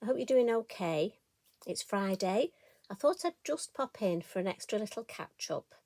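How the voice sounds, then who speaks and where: A woman with a croaky voice, chatting homely and rambling as she demonstrates a craft project. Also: mid-range voice, animated, moderate pace, darkish tone, no echo. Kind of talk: casual crafting tutorial chat.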